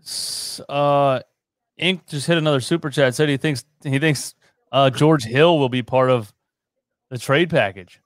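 A man chats as co-host of a live sports stream. His pitch is average for a man and the sound is dry and close. He reacts in a casual, slangy, laid-back way. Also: a little slow, no room sound. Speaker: livestream co-host. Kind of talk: casual sports livestream chat.

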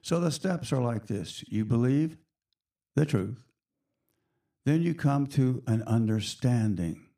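A hoarse man hosting a spiritual radio show, talking in a slow, reflective, chatty way to his listeners. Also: mid-range voice, lively intonation, warm tone. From United States.

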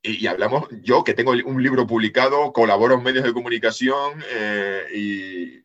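A man's voice: mid-range voice, moderate pace, fairly bright tone, dull audio. A man from the Canary Islands with a Canarian accent, a podcast guest chatting casually and repeating words as he tells stories. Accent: Canarian Spanish. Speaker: podcast guest, geopolitics analyst. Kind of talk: casual chat.

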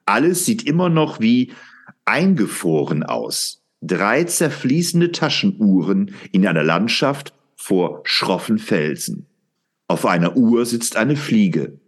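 A man narrating an audio drama from a script as its storyteller. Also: fairly low pitch.

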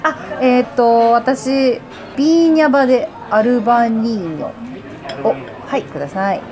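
A woman's voice: fairly high pitch, unhurried, darkish tone, faint music behind. A woman in her thirties chatting casually and expressively as a podcast host, in a colloquial way. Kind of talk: casual chat. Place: restaurant, recorded while eating. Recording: busy restaurant chatter behind. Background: crowd chatter.

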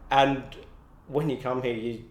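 A man's voice: mid-range voice, steady tone, a little slow. A young Australian man, an artist, chatting in an interview in a loose, rambling way full of "like" and restarts. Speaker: artist guest. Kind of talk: casual interview. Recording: roomy echo.

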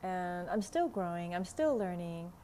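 A middle-aged woman with a Vietnamese accent, whose first language is Vietnamese, hosting a spiritual podcast at home in a slow, halting way with many fillers and soft laughs. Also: lowish voice, animated. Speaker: podcast host, spiritual teacher. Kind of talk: casual spoken podcast monologue. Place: home room in a city, window open, passing traffic. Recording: faint traffic noise from open window.

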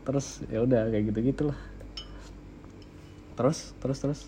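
A young man talks in easy, slangy podcast banter and teases his co-host. His voice is mid-pitched and mellow, and there is light noise in the background. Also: steady tone, moderate pace. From Indonesia. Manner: joking, teasing interjections. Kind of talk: casual slangy chat.

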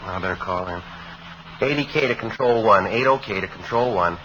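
A man's voice: on the low side, moderate pace, bright, forceful tone, muffled recording, slight background noise. A man acting a terse, matter-of-fact homicide sergeant in a vintage radio crime drama. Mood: brisk, alert. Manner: clipped, understated, matter-of-fact. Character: terse, businesslike male police homicide sergeant. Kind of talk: 1950s radio crime drama acting and first-person narration.

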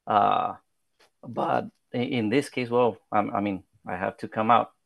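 Hesitant Spanish-accented man, gravelly. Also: fairly low pitch, fairly even intonation, a little slow, no echo. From South Texas. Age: 30s. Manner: hesitant, many fillers and restarts; modest, soft-spoken. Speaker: political candidate. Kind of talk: podcast interview.

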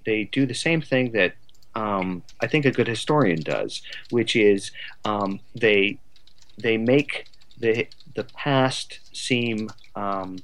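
A man speaking as a podcast guest, casual and thoughtful, halting often as he gathers his ideas. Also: lowish voice, a bit bright. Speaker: podcast guest, playwright and author. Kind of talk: casual conversational discussion.

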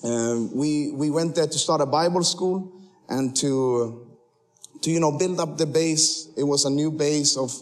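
A young man born in Sweden gives a casual, joking sermon as a guest missionary. His voice sits a little high for a man. He talks in a loose, friendly way with plenty of fillers. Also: a little slow. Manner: chatty, joking, frequent laughs and fillers. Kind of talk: casual sermon to a church crowd. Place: church sanctuary.

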